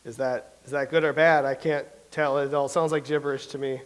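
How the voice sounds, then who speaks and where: A man's voice: mid-range voice, flat delivery, a little fast, smooth, natural voice. An actor playing a vain, anxious male playwright who rambles nervously in a staged comedy reading. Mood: rambling. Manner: rambling, nervous, self-pitying. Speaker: playwright character.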